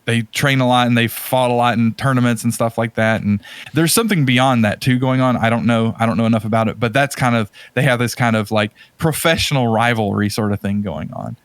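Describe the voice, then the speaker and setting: A man's voice: mid-range voice, steady tone, brisk, few pauses, clear, resonant tone, dry recording. A man co-hosting a podcast in casual chat, rambling and often hesitating and correcting himself as he goes. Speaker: podcast co-host.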